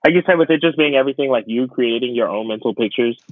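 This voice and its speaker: A man's voice: medium pitch, fast talker, no echo. Young man, very muffled dry podcast sound. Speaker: podcast host. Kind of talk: casual chat about pop culture.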